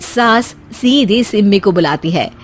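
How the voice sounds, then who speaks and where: A woman narrator reading a scripted animated moral story. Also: mid-range voice, unhurried, soft background music.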